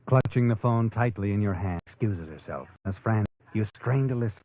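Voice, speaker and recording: A man's voice: lowish voice, normal speed. A man playing an all-knowing narrator of a radio suspense drama, taunting a guilty man with insinuating questions in the second person. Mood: ominous, knowing. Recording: vintage radio recording, narrow band, music behind.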